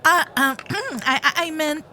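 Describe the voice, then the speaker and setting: A woman plays a young kitsune girlfriend in an intimate ASMR roleplay. Her voice sits fairly high and she takes her words very slowly. She is sweet and caring, with a nervous, giggly stammer. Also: fairly even intonation, bright, forceful tone, a little hiss or hum, full character voice. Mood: flustered. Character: sweet flustered young female kitsune fox-spirit girlfriend.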